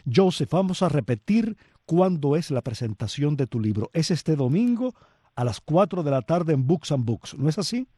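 A man's voice: on the high side, sing-song, normal speed, mellow, slightly rough, dry recording. A man hosting a radio show, introducing music and interviewing a guest on the phone in a friendly, chatty way. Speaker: radio host.

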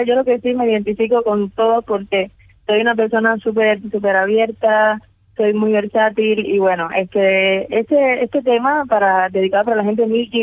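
A woman's voice: fairly high pitch, no echo. A Cuban woman, a singer, chatting as a guest on a radio show over the phone, with many hesitations and fillers. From Cuba. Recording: tinny phone line.

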